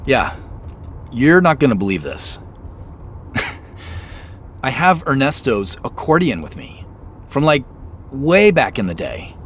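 An actor playing an inquisitive, slightly sheepish amateur sleuth in a naturalistic audio drama, talking in an easygoing, conversational way. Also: male voice, medium pitch, sing-song, takes it slowly, pauses often, a bit bright. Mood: quietly excited. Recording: faint vehicle noise behind.

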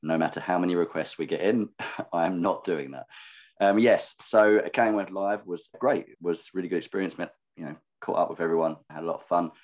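A man co-hosting a podcast with a husky, worn-out voice, self-deprecating and quick to laugh. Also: deep voice, a little mellow. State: hoarse, husky from overuse. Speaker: podcast co-host. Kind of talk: casual chat.

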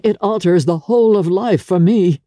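Audiobook role: woman plays a reckless, lovestruck gentleman, urgent and insistent in formal phrases, with a soft, dark-toned voice. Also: fairly low pitch, moderate pace, no echo, clean studio sound, voice pushed up, close to an everyday voice. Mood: impassioned, insistent. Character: impassioned, reckless engaged gentleman in love. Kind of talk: dramatic literary audiobook reading.

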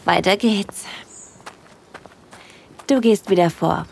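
A woman playing a smitten hiker who narrates her date intimately in a breathy, playful way for an erotic audio drama. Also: medium pitch, slow, darkish tone, slight background noise. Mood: tender, intimate.

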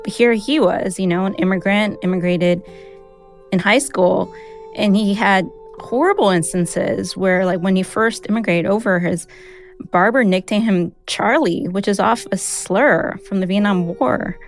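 A young adult woman, raised speaking Mandarin first, telling a personal story in a candid, reflective way with soft laughs. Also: medium pitch, measured pace, faint music behind. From United States. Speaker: interviewee, newsletter writer.

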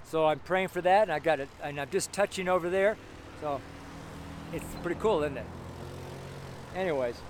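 High-voiced man in his seventies, street preaching. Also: moderate pace, long pauses. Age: 70s. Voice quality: strong, carrying voice. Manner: rambling, exclamatory, repeats phrases for emphasis. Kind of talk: informal extemporaneous street sermon. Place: outdoors on a city street among buildings. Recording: outdoor street noise, traffic ambience.

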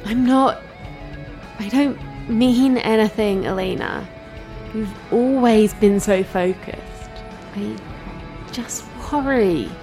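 Creaky-voiced, concerned friend. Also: female voice, mid-range voice, takes it slowly, pauses often, dark tone, faint music behind. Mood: apologetic, worried. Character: concerned, gently probing woman friend, busy mother. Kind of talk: audio drama acting.

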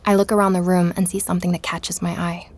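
A woman's voice: fairly low pitch, level tone, fast, close to an everyday voice. An actress playing an introspective young woman who narrates her own investigation in a scripted first-person audio drama. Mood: observant, intent. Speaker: narrator and protagonist.

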